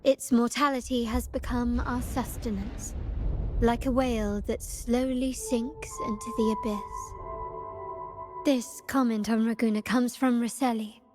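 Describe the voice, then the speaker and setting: A woman acting as an enigmatic young lady in a video game cutscene. Her voice is fairly high, with slow, deliberate, formal phrasing, composed and knowing, over clear background music. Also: steady tone, lightly acted. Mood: composed, musing. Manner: measured, formal, deliberate, cryptic.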